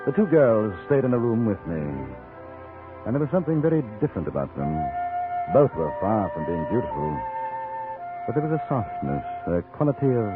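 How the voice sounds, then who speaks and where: An actor playing a composed, courteous gentleman adventurer, speaking in a measured, literate way as in a 1950s radio drama. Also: mid-range voice, sing-song, over loud music, lightly acted. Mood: composed, reflective. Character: composed, gentlemanly adventurer narrating his frontier tale. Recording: muffled vintage radio broadcast.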